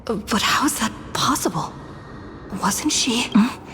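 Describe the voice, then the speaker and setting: A woman's voice: a little high, lively intonation, a little slow, fairly bright tone, a little hiss or hum. A woman voicing an inquisitive young traveler musing and questioning to herself in a fantasy game. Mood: puzzled, musing. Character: puzzled young female traveler, inquisitive inner monologue. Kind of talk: fantasy video game voice acting.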